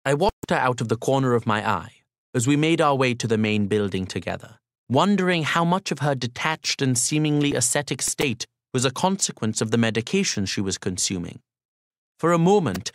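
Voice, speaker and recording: A man reads first-person literary narration at a middling pitch. His delivery is formal, polite and measured. The recording is dry, with very little room sound. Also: expressive, no room sound. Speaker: audiobook narrator.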